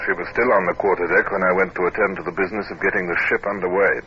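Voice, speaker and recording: An actor playing a stern, irritable naval captain in a formal, clipped 1950s radio drama manner. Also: male voice, deep voice, steady tone, rapid-fire, over music, close to an everyday voice. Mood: measured, grave. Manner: formal, clipped, exasperated, commanding. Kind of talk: 1950s radio drama acting with first-person narration. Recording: muffled, very noisy old 1950s radio recording.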